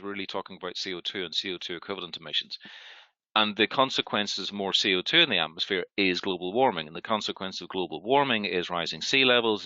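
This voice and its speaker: A man's voice: lowish voice, pushed and bright, dry recording, highs cut off. A man with a Belfast accent, speaking earnestly as a panel guest in a webinar discussion, with restarts and stammers. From Northern Ireland.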